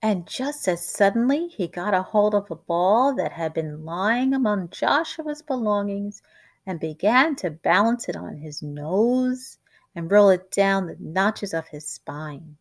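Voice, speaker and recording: Lady reading a kids book out loud, really slow n dramatic, like a teacher. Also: mid-range voice, animated. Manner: deliberate, dramatic storytelling delivery. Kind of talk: expressive children's storybook read-aloud.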